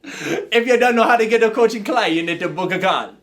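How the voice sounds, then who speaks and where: A man faking a Jamaican sing-song pitchman. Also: animated, a little fast, a bit bright. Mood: playful. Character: man faking a Jamaican patois sales jingle.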